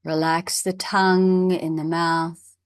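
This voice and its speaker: A woman meditation teacher speaks very slowly in a slightly low voice. She gives measured instructions and leaves long pauses between phrases. The recording is dry and close, with no room sound. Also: level tone, clear, resonant tone, dry recording. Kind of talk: guided meditation.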